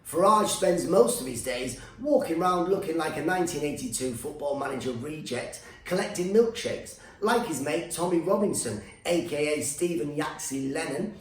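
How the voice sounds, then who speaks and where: A man's voice: on the high side, expressive, moderate pace, hardly any pauses, reverberant, rough recording. A male stand-up comedian, born in Iran with Farsi as his first language, delivering a sarcastic rant that keeps slipping into silly put-on voices.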